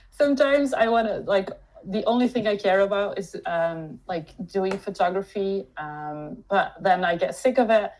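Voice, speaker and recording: A woman's voice: mid-range voice, measured pace, a little mellow, low-quality recording. A woman in her early thirties from Belgium, co-hosting a casual podcast, rambling with lots of fillers and giggles. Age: 30s. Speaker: co-host.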